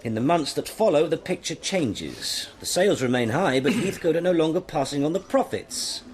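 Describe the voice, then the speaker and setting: An actor playing a stern British senior detective in a radio drama, with a clipped, commanding delivery. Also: male voice, a little high, big pitch swings, normal speed. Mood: businesslike. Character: stern, authoritative male senior police detective briefing his team. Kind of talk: BBC radio drama acting.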